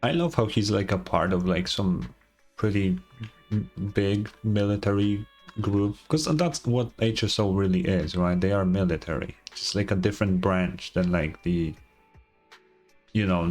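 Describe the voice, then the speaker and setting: A man's voice: low-pitched, animated, moderate pace, a little mellow, soft background music. A young man doing casual, offhand game commentary with some swearing, also reading game text aloud. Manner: offhand, profane. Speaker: game streamer.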